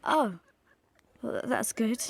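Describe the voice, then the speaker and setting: A worried, deferential Georgian woman in an audio drama. Her slightly high voice is unhurried, timid and polite. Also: lively intonation, creaky, slightly rough, heavily acted. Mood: timid hope. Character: anxious, deferential eighteenth-century institution resident. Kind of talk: period audio drama acting.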